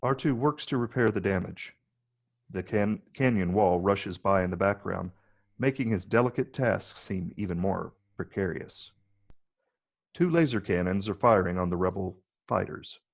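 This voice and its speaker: A man with a low, gravelly voice reading screenplay stage directions as the narrator. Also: normal speed.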